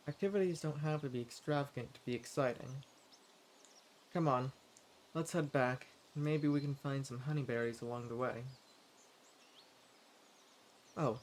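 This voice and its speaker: A man playing a friendly, eager adventurer and forager in a fantasy roleplay audio drama, speaking politely and earnestly with gentle hesitation. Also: medium pitch, slight background noise, natural voice. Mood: pleased, eager. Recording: clear outdoor nature ambience with birdsong. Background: forest ambience, birds.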